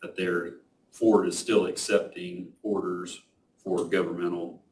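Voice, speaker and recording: A man's voice: sits low, unhurried. A man on a county staff explaining the background to a bid at a public meeting, hesitant and full of fillers. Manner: flat and hesitant, with many fillers. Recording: echoey room, distant mic, clear hiss.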